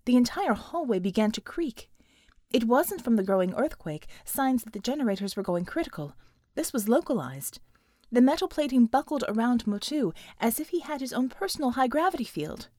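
A woman with a fairly high voice narrates dramatic fiction. It is a scripted audiobook read, recorded dry with little room sound. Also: big pitch swings, moderate pace, dark tone, no room sound.

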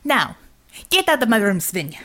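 A woman's voice: a little high, very animated, normal speed, fairly bright tone. Narrator lady doing hot headed russian boss woman, blunt n clipped, audiobook character. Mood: furious. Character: fiery, short-tempered Russian woman commander.

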